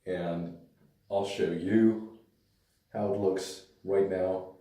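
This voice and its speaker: A man with a hoarse, worn-out voice, casually reviewing a hair product in a relaxed, rambling way with hesitant fillers. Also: low-pitched, extremely slow. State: hoarse, lost voice. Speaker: pomade reviewer. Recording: echoey room, mic at a distance.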